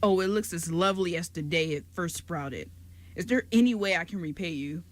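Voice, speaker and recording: A woman playing a gentle, caring old male giant in an amateur radio drama. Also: fairly low pitch, brisk, close to an everyday voice. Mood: touched.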